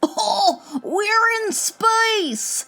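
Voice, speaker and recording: Woman playing a little boy astronaut, very high swooping voice, slow drawn-out exclamations, eager, storybook. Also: wildly swooping pitch, gravelly, a bit bright, faint music behind, heavily acted. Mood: excited. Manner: exclaiming, wide-eyed. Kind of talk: children's storybook character voice.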